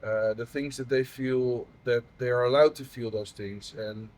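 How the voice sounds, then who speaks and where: Man, 30s, from the Netherlands, halting, open, personal conversation. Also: medium pitch, steady tone, a little mellow. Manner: halting, many fillers and restarts. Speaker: patient advocate interviewer.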